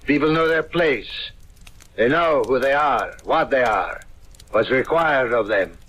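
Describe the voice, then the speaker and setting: An actor playing a courteous but condescending palace official in an old radio drama, speaking in a formal, polished manner. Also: male voice, mid-range voice, expressive, a little slow, a bit bright. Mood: smug. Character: haughty, courteous male palace official, smugly traditional.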